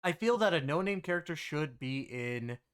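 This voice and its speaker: Clear-voiced man in casual conversational review. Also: fairly high pitch, lively intonation, normal speed. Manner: rambling, conversational, frequent filler phrases like "you know". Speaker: game reviewer and content creator. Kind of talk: casual video game story review.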